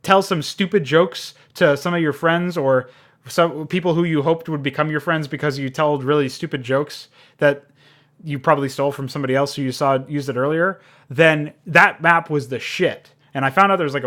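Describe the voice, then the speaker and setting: A man's voice: fairly high pitch, fairly quick, fairly bright tone. A man giving a casual, unscripted game review, rambling and informal, with frequent swearing.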